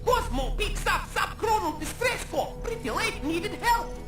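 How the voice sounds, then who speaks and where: A woman voicing an eager, friendly talking space dog in an animated game, speaking in clipped, broken sentences. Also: very high-pitched, normal speed, music in the background. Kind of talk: animated video game cutscene acting.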